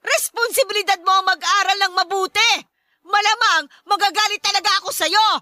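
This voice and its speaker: A very high, piercing mother's voice played by a woman in a radio drama. She is short-tempered and nags relentlessly, scolding her grown children in a bright, sharp tone, close and dry. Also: fairly even intonation, a little fast, dry recording. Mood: exasperated, berating. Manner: nagging, berating, exclamatory. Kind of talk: Tagalog radio drama acting.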